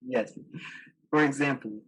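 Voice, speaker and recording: A man's voice: mid-range voice, unhurried, fairly bright tone, breathy edge, low-quality recording. A young man playing a smug, tactless date in a student stage drama, glib and dismissive. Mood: glib, defensive. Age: young adult.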